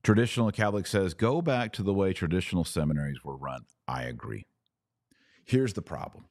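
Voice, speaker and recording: Male religious podcast host, extremely low voice, emphatic and earnest delivery, very dry sound. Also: expressive, brisk, darkish tone, dry recording. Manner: earnest, emphatic, repeats phrases for stress. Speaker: podcast host answering live viewer comments. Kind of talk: live religious commentary.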